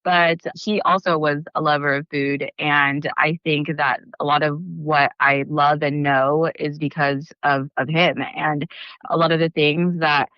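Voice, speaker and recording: A woman's voice: deep voice, steady tone, measured pace, no echo, lo-fi sound. A young woman, born in the Philippines and raised in California, chatting as a guest about her bakery, with lots of fillers and restarts. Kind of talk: casual interview talk.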